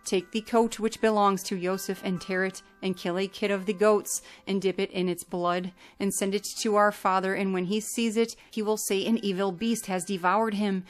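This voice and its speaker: A woman's voice: medium pitch, level tone, moderate pace, few pauses, soft background music, lightly acted. A woman reading a scheming grown brother. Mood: persuasive.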